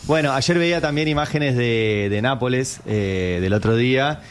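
A man with an Argentine Spanish accent, hosting a casual chat and reacting quickly and excitedly. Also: medium pitch, clear, resonant tone. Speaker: host.

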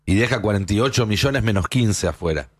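A man's voice: fairly low pitch, animated, brisk, slightly rough. A man with an Argentine accent hosting a political news show, giving opinionated commentary in an emphatic way with rhetorical questions.

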